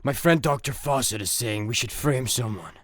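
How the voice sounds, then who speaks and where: An actor playing a scheming, servile villain in a comedy sci-fi radio play, speaking in a measured, pompous way. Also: male voice, mid-range voice, dry recording, studio quality, natural voice. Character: smooth scheming male villain, servile to his doctor associate.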